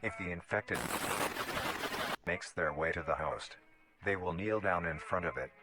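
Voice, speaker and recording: Low-pitched man narrating, formal and detached. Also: unhurried, heavy background noise. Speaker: narrator of an analog horror video. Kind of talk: scripted documentary-style narration. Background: music.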